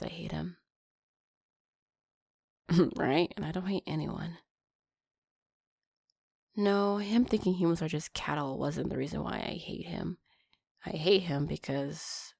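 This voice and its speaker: Low, creaky-voiced vampire girlfriend played by a woman. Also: no echo. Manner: soft, chatty, sighing and giggling, breaking into whispers. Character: affectionate, anxious centuries-old female vampire girlfriend. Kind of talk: intimate girlfriend roleplay audio drama.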